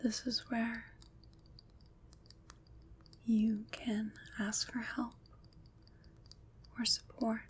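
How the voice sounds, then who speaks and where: A woman playing a kind, soothing witch in a gentle, breathy ASMR whisper, pausing between phrases to reassure. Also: on the high side, little pitch movement, heavily acted. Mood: gently encouraging. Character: kind, soothing witch comforting someone troubled by nightmares. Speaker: ASMR performer. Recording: clear background noise.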